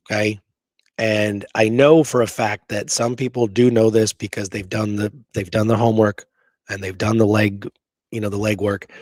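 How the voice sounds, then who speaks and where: A man's voice: on the low side, darkish tone, dry recording. Man talking history casually, dry close sound. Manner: emphatic, conversational, often checking with "right?" and "okay". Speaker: podcast host. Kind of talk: casual history talk from notes.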